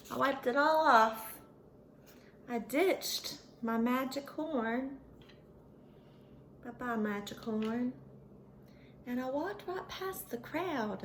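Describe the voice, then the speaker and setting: A sweet little storybook pony played by a woman speaks in a high, bright voice. She tells her tale to children in a folksy, earnest and dramatic way. There is faint noise behind her. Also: full character voice. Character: sweet, folksy little female pony who became a famous unicorn.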